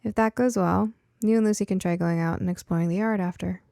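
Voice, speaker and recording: Woman doing a sweet teasing mom for a cozy storybook, reassuring, bit of creak, close dry sound. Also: on the low side, steady tone, a little fast, mellow, no room sound. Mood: encouraging. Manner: chuckling, reassuring, coaxing. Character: cheerful, caring, gently teasing mother.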